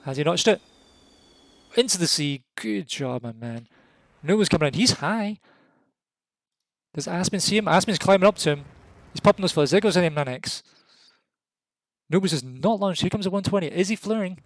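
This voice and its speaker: Excitable Scottish man commentating a match. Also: high-pitched, normal speed, long pauses, dry recording. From Scotland. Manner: excitable, rises sharply at kills; casual and jokey. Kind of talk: live esports commentary.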